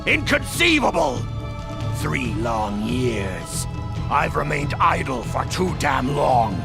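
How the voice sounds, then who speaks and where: A man voicing an arrogant, bloodthirsty villain in an anime game, theatrical and taunting, with a gravelly voice. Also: mid-range voice, big pitch swings, takes it slowly, over loud music, heavily acted. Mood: menacing glee. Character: bloodthirsty, arrogant male villain itching to destroy.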